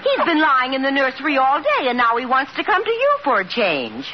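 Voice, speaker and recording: A brisk, bossy female nurse in a radio comedy, cheerfully insistent and no-nonsense. Her highish voice moves up and down in lively swings. The vintage recording is thick with noise and has a studio audience behind it. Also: lo-fi sound. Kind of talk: 1950s radio comedy acting.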